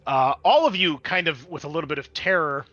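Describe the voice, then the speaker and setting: Male game master, bright forward voice, dramatic tabletop narration that drops into casual rules explanations. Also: on the high side, a little fast, lo-fi sound. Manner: dramatic scene-setting mixed with casual rules talk.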